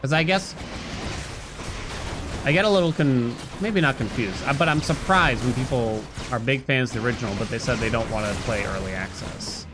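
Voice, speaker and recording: A man streams a video game, talking in a medium-pitched voice. He muses aloud and chats casually with viewers as he plays. Game music runs clearly behind him. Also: moderate pace. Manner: musing aloud, conversational. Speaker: livestreamer playing a video game.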